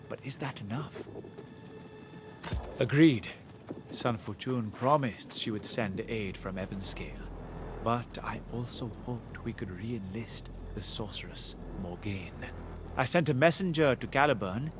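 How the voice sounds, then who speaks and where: An actor playing a wary, dutiful military commander in a fantasy video game, speaking in a formal, concerned way. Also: male voice, mid-range voice, noisy background, natural voice. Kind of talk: video game dialogue acting.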